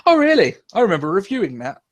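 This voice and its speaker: A young man hosts a podcast in loose, rambling chat. He swears freely and laughs often. His voice sits a little high and sounds dry and close to the mic. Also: huge pitch swings, quick pace, dry recording. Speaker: podcast host. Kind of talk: casual wrestling review chat.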